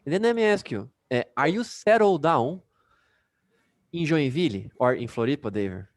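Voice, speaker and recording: Brazilian-accented man as a casual English teacher, animated, dry sound, talks with many fillers. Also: fairly high pitch, normal speed, no room sound. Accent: Brazilian Portuguese. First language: Portuguese. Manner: chatty, many fillers, laughs often. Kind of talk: casual online class.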